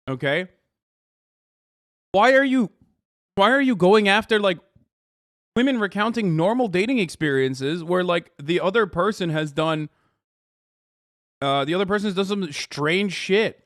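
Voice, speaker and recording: Exasperated high-voiced man ranting. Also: expressive, a little slow, long pauses, a bit bright, no echo, clean studio sound. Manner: exasperated, sarcastic, swears a lot. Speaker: streamer reacting to clips. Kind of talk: casual profane reaction commentary.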